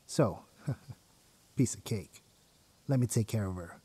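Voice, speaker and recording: Man playing a husky, ruthless rebel leader. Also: lowish voice, expressive, measured pace, warm tone, full character voice. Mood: grim, commanding. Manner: commanding, intense. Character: hardened male rebel gang leader, fiercely loyal and ruthless. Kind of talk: audio drama acting. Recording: music under the voice.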